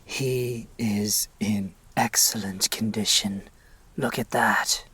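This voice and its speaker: Audio drama grave robber, played by a man: occult scholar, morbid and dry, unhurried husky delivery that sinks into whispers. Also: on the low side, steady tone, sharp tone, exaggerated voice. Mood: morbid admiration. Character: morbidly fascinated male grave robber, dryly theatrical, learned in occult.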